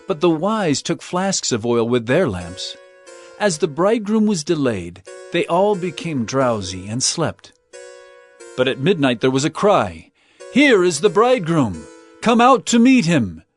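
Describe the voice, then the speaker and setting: An actor playing a solemn male prophet-teacher, delivering warnings and parables in earnest, emphatic dramatized scripture narration. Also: a little high. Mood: storytelling, emphatic.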